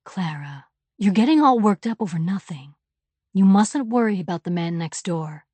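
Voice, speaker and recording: Woman playing a tired dad, gentle, hesitant, lowered voice, dry sound. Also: a little slow, breathy edge, no echo. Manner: soft, soothing, hesitant. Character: tired, gentle middle-aged father.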